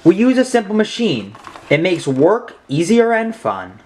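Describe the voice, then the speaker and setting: A young man presents simple science to children in a home-made recording. His voice sits a little high and moves up and down in an animated way. There is faint background noise. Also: measured pace. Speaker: read-aloud host and science demonstrator. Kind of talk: children's read-aloud and hands-on explanation.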